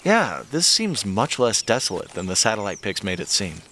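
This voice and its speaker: An affable, nervous male sidekick in an audio drama. His pitch is very mobile and animated. He talks in hesitant, rambling runs with a wry, self-deprecating edge. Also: normal speed, slight background noise.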